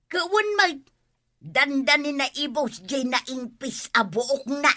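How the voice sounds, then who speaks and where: A fretful village wife fusses and exclaims in an Ilocano accent. The voice is very high and bright, pitched for radio comedy. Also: female voice, animated, slow pace, heavily acted.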